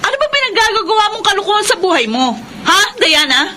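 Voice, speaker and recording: Stern elder sister, Filipino accent, very high swooping voice, emotional, confrontational, radio drama. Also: female voice, big pitch swings, brisk, background noise. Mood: angry, interrogating. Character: stern, scolding elder sister, dutiful young woman.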